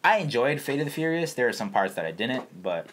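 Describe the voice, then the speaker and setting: Man with very animated, informal delivery. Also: medium pitch, fairly quick, a little hiss or hum. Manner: informal, slangy, explains by acting out imagined reactions. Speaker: podcast co-host. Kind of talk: casual opinionated chat.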